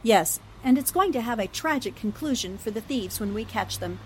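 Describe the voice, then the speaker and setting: An actress playing a shrewd, confident newspaper sleuth, brisk and emphatic, in a 1940s-style radio drama. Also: female voice, on the high side, expressive, darkish tone, slight background noise, close to an everyday voice, low-quality recording. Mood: determined.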